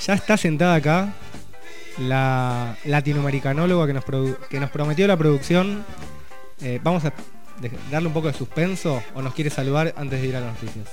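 A man hosting a radio program, chatting with co-hosts and reading regional news in a relaxed, conversational way. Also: fairly high pitch, a little fast, faint music behind. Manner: relaxed, conversational, reads news items with asides. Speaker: radio host. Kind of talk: community radio news chat.

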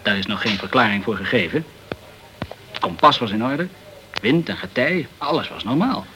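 Newspaperman, courteous and talkative, mid-pitched voice, radio drama. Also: expressive, normal speed, sharp tone, slight background noise. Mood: grave, informative. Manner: courteous and chatty, peppered with French words. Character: polite, talkative French journalist in the tropical West Indies. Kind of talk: Dutch radio play acting.

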